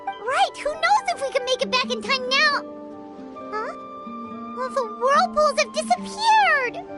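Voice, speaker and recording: An actress voicing a tiny, excitable childlike fairy companion who talks about herself in the third person, in a video game. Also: female voice, very high voice, unhurried. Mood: worried. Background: game music.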